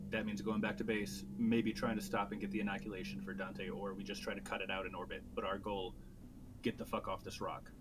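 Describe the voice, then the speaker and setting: A man's voice: on the low side, little pitch movement, fairly quick, noisy background, natural voice. A man playing a blunt, commanding space marine captain in a tabletop roleplay, giving decisive, sweary orders. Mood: firm, resolute. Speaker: player.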